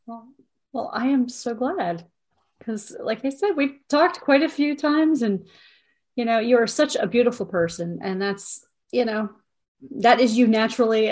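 Woman host with a slightly high voice; effusive, casual interviewer. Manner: warm, effusive and complimentary. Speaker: podcast host.

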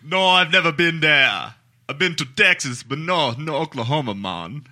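A talkative young Jamaican cab driver, loose and friendly, with a bright, lively voice that rises and falls a lot, as a podcast cohost puts him on. He chats easily with his passenger before dawn. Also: fairly high pitch, normal speed. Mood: chatty. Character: young Jamaican male Uber driver, chatty.

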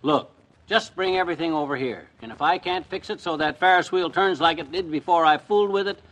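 An actor playing a blustery, boyish, folksy husband in an old-time radio comedy. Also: male voice, fairly high pitch, brisk, a bit bright, lightly acted, poor audio. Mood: blustery, indignant. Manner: folksy, slangy. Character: blustery, boyish, quarrelsome small-town husband.

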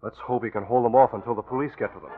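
An actor playing a level-headed, authoritative newspaper boss with concern in a 1940s-style radio drama. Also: male voice, medium pitch, fairly even intonation, racing, lightly acted. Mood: grave, decisive. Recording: phone-like old radio recording with music.

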